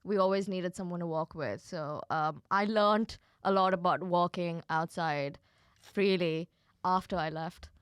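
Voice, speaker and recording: A woman's voice: lowish voice, unhurried, smooth, dry recording, clean studio sound. A young woman comedian from Kuwait chatting casually, laughing often and swearing freely. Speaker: comedian guest. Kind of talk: casual podcast chat.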